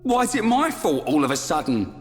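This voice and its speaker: An actor playing a brash, blunt young fighter in a game, informal and defensive. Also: male voice, fairly high pitch, big pitch swings, brisk. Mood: defensive, griping. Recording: reverberant hall, faint music.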